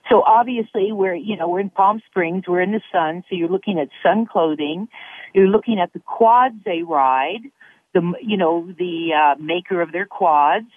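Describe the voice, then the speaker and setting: A woman's voice: on the low side, moderate pace, breathy edge, no echo. Talkative woman guest on phone-thin audio. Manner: talkative, explains with examples. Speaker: product placement guest. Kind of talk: casual radio interview. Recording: narrow phone-like line.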